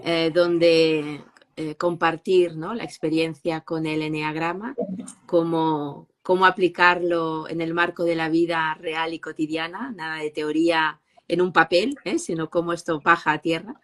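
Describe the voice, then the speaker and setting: Low-voiced woman hosting an interview. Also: a little slow. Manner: relaxed, hesitant welcome with fillers. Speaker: host.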